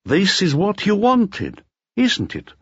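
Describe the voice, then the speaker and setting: Male narrator as a drunken audiobook crook, hoarse, bitter, jittery, raised pitch, dull recording. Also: huge pitch swings, slow, fairly bright tone, no echo, heavily acted. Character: drunken, bitter, nervous male Latvian swindler, unravelling.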